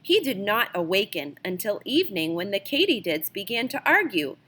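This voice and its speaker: A woman reading a children's picture book aloud as an expressive storyteller, with dramatic emphasis. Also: medium pitch, moderate pace, slightly rough. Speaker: storybook reader.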